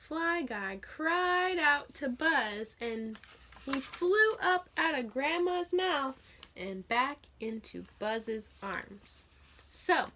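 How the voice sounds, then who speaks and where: A woman's voice: high-pitched, sharp tone, slight background noise. A woman teaching young children through a read-aloud, telling the story expressively and putting simple, encouraging questions to her listeners.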